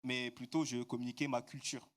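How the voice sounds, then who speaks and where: A man's voice: medium pitch, little pitch movement, a little fast. A man with an ordinary mid-range voice interprets a sermon phrase by phrase. He hesitates often, filling gaps and correcting himself as he goes. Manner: hesitant, frequent fillers and self-corrections. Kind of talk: consecutive interpreting into French.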